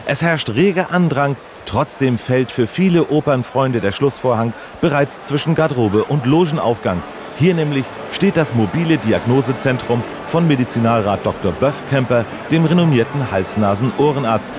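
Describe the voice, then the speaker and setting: An actor playing a polite, straight-faced male radio reporter, asking formal deadpan questions in a mock on-location report. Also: medium pitch, moderate pace, background noise, natural voice.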